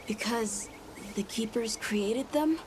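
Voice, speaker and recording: A woman voicing an earnest, determined young boy in a game cutscene, pleading and halting as he speaks. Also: a little high, noisy background. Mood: pleading, troubled. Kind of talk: dramatic video game cutscene acting. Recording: music behind the voice.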